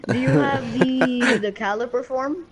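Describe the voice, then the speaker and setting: A young boy in fifth grade chatting over game voice chat, excitable and giggly, often stumbling over his words. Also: very high-pitched, measured pace, over a noisy crowd. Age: child. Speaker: online game player. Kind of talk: casual voice chat while gaming.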